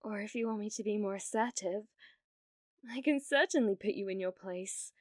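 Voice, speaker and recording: A British woman playing an obsessive, needy young female streamer in an ASMR roleplay, pleading and stammering, swinging between breathy whispers and outbursts. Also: mid-range voice, normal speed, no echo, clean studio sound, natural voice. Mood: soft, desperate. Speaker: ASMR voice actress.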